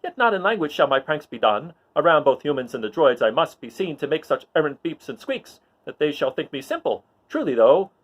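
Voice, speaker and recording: A man's voice: a little high, pitched above the performer's own voice. A man voicing a small, sly, plucky droid who confides in a theatrical verse soliloquy. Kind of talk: dramatic reading of mock-Shakespearean verse.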